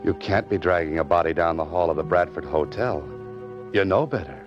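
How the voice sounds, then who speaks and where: Guy playing wry fed-up hero in old 40s radio show, deep voice, muffled recording. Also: a little fast, over music, lightly acted. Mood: wry, resigned. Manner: dry, sardonic, protesting. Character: wry, exasperated male writer roped into trouble. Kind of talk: 1940s radio drama acting. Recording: dull vintage 1940s radio recording.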